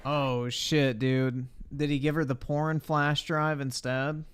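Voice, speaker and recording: A man's voice: a little high, little pitch movement, slow, clear, resonant tone. A man hosting a livestream, making crude, incredulous and mocking casual reaction comments on a video.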